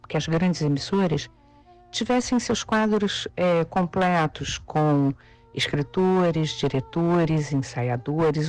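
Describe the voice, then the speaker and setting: An interviewed expert explaining in a thoughtful way, pausing often with fillers. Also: medium pitch.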